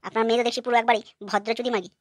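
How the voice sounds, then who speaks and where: Cartoon son-in-law, acted by a woman: aggrieved, hot-headed, higher-pitched voice, extremely fast ranting. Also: steady tone, dry recording, lightly acted. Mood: angry, ranting. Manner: brash, ranting. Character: foul-mouthed, hot-tempered, aggrieved young son-in-law. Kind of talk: cartoon comedy dialogue.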